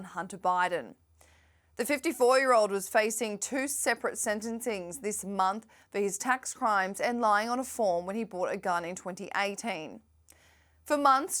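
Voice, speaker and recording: A woman's voice: medium pitch, fairly bright tone. Woman reading a snarky news roundup. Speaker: news presenter. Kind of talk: scripted news presenting.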